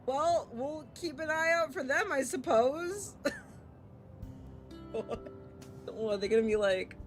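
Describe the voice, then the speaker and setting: A woman streamer reading a visual novel aloud and commenting casually, animated, expressive and free with swearing. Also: high-pitched, takes it slowly. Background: game music.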